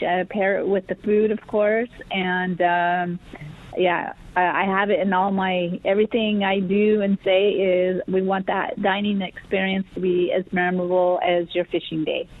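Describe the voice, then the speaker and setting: A woman guest on a radio call-in show, describing her fishing lodge with eager, halting speech full of fillers, her voice slightly worn. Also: medium pitch, flat delivery. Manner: enthusiastic, halting with many fillers. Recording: tinny phone line.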